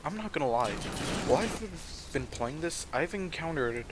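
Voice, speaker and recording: A man casually commenting on his own gameplay at home, talking quietly and rambling, with the game's sound underneath. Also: a little high, expressive, moderate pace, very noisy. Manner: soft-spoken, rambling. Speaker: gaming channel host.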